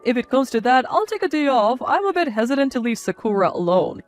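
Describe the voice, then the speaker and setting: A woman's voice: high voice, sing-song, fast, faint music behind. A woman narrator voicing a supportive young father and husband in a story, earnest and reassuring. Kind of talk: scripted story dialogue.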